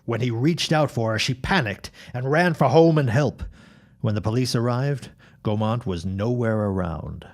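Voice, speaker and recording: A man's voice: medium pitch, normal speed, lightly acted. An actor playing a resolute, protective father and neighbor of the early twentieth century in an audio drama. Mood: grave.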